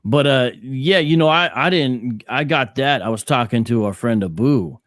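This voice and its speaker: Brief: male co-host, lively intonation, dry close sound, skeptical, many restarts. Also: medium pitch, normal speed, dry recording. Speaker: podcast co-host. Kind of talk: casual discussion.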